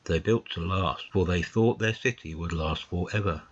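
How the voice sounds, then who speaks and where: An actor playing a placid, wise old male badger, gruff and measured, in a dramatised audiobook. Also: sits low, dull audio. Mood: calm, reflective. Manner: measured, storytelling, unhurried. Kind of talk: dramatised audiobook of classic fiction.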